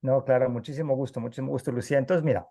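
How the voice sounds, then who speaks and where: A man's voice: mid-range voice, fairly even intonation, very fast, mellow. A man co-hosting a podcast interview, jovial and chatty. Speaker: podcast co-host.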